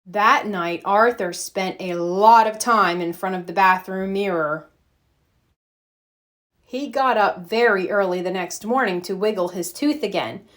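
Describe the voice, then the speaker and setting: A woman reading a children's storybook aloud with expressive, deliberate narration. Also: mid-range voice. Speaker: storybook reader.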